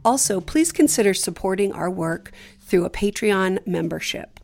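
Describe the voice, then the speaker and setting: A woman's voice: medium pitch, expressive, normal speed, creaky, a little mellow, soft background music. A woman who creates the show, reading a scripted appeal to listeners.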